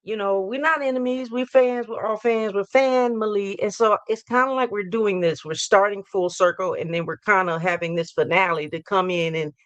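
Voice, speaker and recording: A hoarse woman hosting a live sports fan talk show, upbeat and rambling, repeating her hype lines. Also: medium pitch, steady tone, moderate pace, hardly any pauses, dry recording, muffled recording. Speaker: host.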